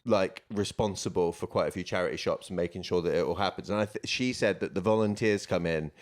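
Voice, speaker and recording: A man's voice: sits low, quick pace. A man who is a comedian, co-hosting a podcast in a casual chat and riffing with dry, jokey asides.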